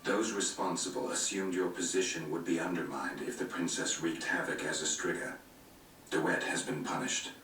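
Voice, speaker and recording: An actor playing a gruff, stoic monster-hunting swordsman in a video game, terse and deadpan, with a gravelly voice. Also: sits low, normal speed, a little hiss or hum. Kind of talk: video game cutscene acting. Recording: roomy game playback, distant, loud music behind.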